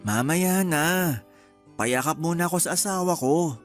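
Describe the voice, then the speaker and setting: Tired, affectionate husband played by an actor, slightly high. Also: male voice, a little slow, lightly acted. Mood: tender, sleepy. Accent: Filipino. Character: affectionate, tired young husband home from work. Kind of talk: Tagalog radio drama acting. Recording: music behind the voice.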